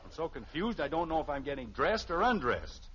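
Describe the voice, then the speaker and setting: A dull vintage radio recording carries a self-important American family man, middle-aged and easily exasperated. His voice is on the high side and swings widely as he puffs himself up and grumbles in sitcom style. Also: sing-song, a little fast, a bit bright, a little hiss or hum. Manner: blustering, pompous speechifying broken by sarcastic grumbling. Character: exasperated, self-important suburban husband and father. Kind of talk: 1950s radio sitcom acting.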